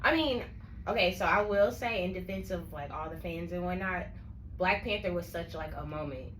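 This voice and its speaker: A woman co-hosting a film podcast, playful, with quick interjections in casual chat. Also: fairly low pitch, fairly bright tone, distant mic. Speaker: podcast co-host. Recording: roomy with faint hiss.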